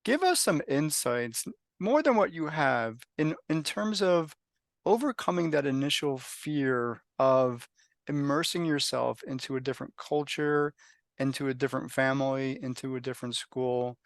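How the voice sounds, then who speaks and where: A man's voice: mid-range voice, animated, moderate pace, dry recording, clean studio sound. A man hosting a podcast interview, asking questions and often rephrasing or repeating them. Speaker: podcast host.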